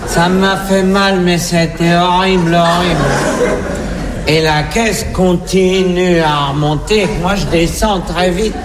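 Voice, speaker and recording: A man telling a comic story on stage, drawn out and animated with sudden exclamations. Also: sits high, slow pace, crowd noise. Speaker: stand-up comedian. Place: live stage before an audience. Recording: roomy, distant live recording with loud audience.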